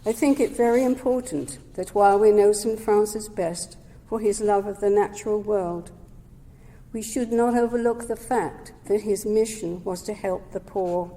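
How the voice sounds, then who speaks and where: A woman giving a prepared talk at a Sunday service, measured and gentle, sometimes correcting herself mid-word. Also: mid-range voice, fairly even intonation. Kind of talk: prepared talk read aloud. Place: service hall. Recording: long hall reverb.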